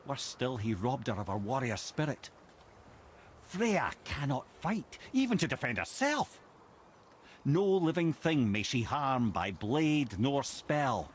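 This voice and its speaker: A man's voice: medium pitch, very animated, slow pace. An actor playing a wry, learned older Scottish storyteller in a video game, eloquent and old-fashioned in his phrasing. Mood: grave storytelling. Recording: engine-like ambient noise.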